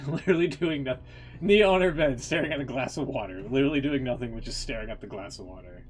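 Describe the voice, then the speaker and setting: A man's voice: mid-range voice, brisk, soft background music. A man giving casual live stream commentary as he reacts, informal and rambling with some mild swearing. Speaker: game streamer reacting to cutscenes.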